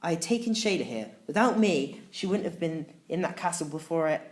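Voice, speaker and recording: A woman's voice: low voice, animated, brisk, a little mellow. A woman narrating a children's story in the first person, reading in a dramatic, emotive way. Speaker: audiobook narrator.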